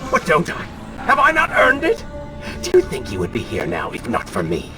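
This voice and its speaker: An actor playing an arrogant, taunting dark spirit, a prince's wicked other self, with mocking, theatrical delivery in a video game. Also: male voice, mid-range voice, huge pitch swings, normal speed. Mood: mocking, indignant. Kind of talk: dramatic video game acting. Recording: loud music under the voice.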